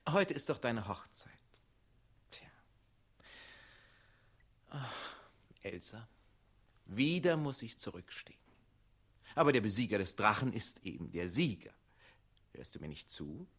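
An actor playing a scheming, oily official who feigns brotherly sympathy in a 1960s radio play, with an ingratiating, insinuating manner. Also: male voice, fairly low pitch, sing-song, a little slow, pauses often, fairly bright tone, slightly rough, heavily acted. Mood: coaxing.

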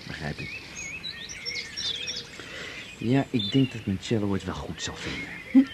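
A gentle, wealthy country man, low-key and coaxing, with a playful streak. His low voice swings widely. Birdsong and rural outdoor noise sit behind him. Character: gentle wealthy farmer courting a woman. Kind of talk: 1980s radio drama acting.

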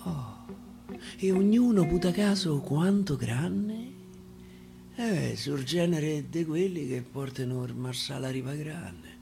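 A man putting on a hushed, whispering queen. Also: fairly high pitch, animated, slow pace, vocal fry, full character voice. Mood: guarded. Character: hushed, cautious royal queen. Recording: radio broadcast with guitar underneath. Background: guitar music.

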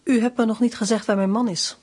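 An actress playing a defiant, dryly ironic wife facing an official in a radio drama, restrained and pointed. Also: female voice, on the high side, steady tone, fast talker, a little mellow, natural voice. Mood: guarded, dry.

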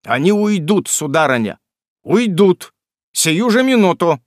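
A man's voice: sits high, very animated, a little slow, no echo, studio quality, voice pushed up. A man voicing an anxious young lodger, flustered and placating.

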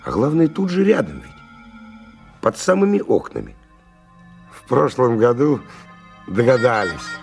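A man's voice: low voice, darkish tone. An actor playing a tough, cynical stalker who tells his story in a colloquial, sardonic radio-drama monologue with dramatic swings. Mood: sardonic, animated. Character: tough, cynical male stalker narrating his dangerous expedition. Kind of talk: 1990 radio drama first-person monologue. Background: music.

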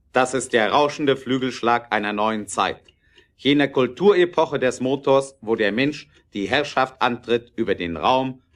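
Elderly visionary inventor: proud, emphatic, declamatory memoir reading for radio play, mid-range pitch. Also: male voice, a bit bright. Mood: exalted, proclaiming. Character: elderly male automobile inventor proclaiming his memoirs with pride. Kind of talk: dramatic reading in an audio play.

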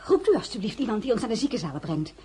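An actress in a period radio drama playing a determined marshal's wife with an insistent, commanding manner. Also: female voice, fairly high pitch, very animated, very fast, mellow, breathy edge, full character voice. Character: determined, high-born married woman, marshal's wife. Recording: noisy radio drama recording.

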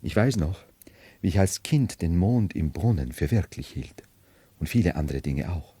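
Radio drama for a brooding, supposedly mad emperor: low male voice, mercurial theatrical delivery, dry close sound. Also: expressive, moderate pace, warm tone, husky, no room sound. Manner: theatrical, mercurial, dwelling on words then bursting out. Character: brooding nobleman feigning madness as a medieval emperor. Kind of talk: 1970s German radio play acting.

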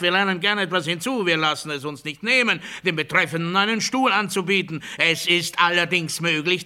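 A man's voice: high-pitched, sharp tone. A man playing a cold, menacing official of a brutal regime in a radio play, speaking in formal, emphatic, declamatory sentences. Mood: fervent, commanding. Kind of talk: German radio play monologue, formal instructional oratory.

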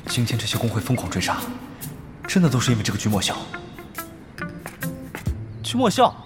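A man's voice: mid-range voice, huge pitch swings, a little fast. A man voice actor playing a wary, grumbling young lone gamer in an audio drama, with muttered asides and a Mainland Mandarin accent. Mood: suspicious, irritated. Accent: Mainland Chinese Mandarin. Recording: music behind the voice.

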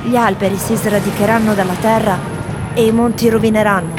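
An intense prophetess played by a woman declaims in solemn, emphatic theatrical tones. Her radio monologue rides over very loud music and city noise, at an everyday female pitch. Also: steady tone, fairly quick, natural voice. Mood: prophetic. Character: intense prophetic female tourist blessing and cursing Romans. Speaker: stage actor reading tourists' postcards. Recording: loud music and street sounds under voice.

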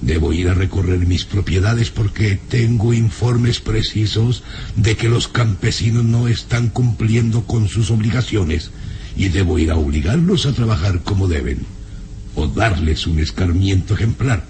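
An actor playing a stern, haughty old baron in a radio drama, speaking in a grave, formal, theatrical way. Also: male voice, lowish voice, a little slow, background noise. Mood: cold, stern. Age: older.

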